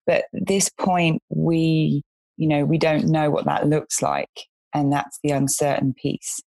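A woman's voice: sits low, flat delivery, unhurried, creaky, mellow, dry recording. A woman joining a casual chat over a video call, friendly, laughing along and often saying "you know".

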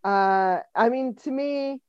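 A woman's voice: a little high, slow, clean tone, dry recording, muffled recording. A woman in her fifties from Denver, a comedian and musician guest chatting dryly on a podcast and laughing often. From Denver, USA. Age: 50s. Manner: dry, wry, laughs often. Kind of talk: casual podcast interview.

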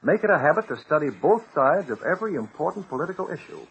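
A man's voice: a little high, sing-song, fast, vocal fry, fairly bright tone, highs cut off. A man reading a scripted old-time radio announcement in an earnest, exhorting manner to young listeners. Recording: noisy old radio recording.

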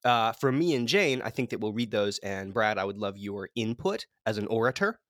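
A man hosting a podcast in a casual, playful, excitable chatting style. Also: on the low side, moderate pace, dry recording, clean studio sound. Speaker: podcast host.

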